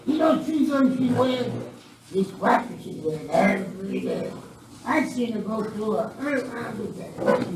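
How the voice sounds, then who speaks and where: Woman acting a bumbling outlaw henchman, echoey. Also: a little high. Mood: scolding. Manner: stammering, flustered. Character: bumbling, nervous henchman outlaw, flustered by the boss. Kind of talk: Western radio play acting. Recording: echoey hall, distant mic, faint noise.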